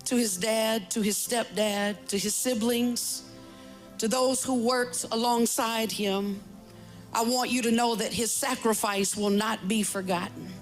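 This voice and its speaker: A woman pastor delivers a funeral address in measured, repetitive oratory. Her pitch is slightly high and her pace very slow, over faint music. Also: steady tone.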